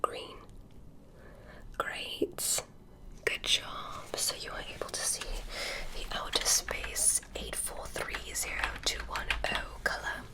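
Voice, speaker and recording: A woman voicing a curious alien examiner who tests a human, speaking in a soft, clinical ASMR whisper. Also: slow, lightly acted, low-quality recording. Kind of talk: ASMR medical roleplay.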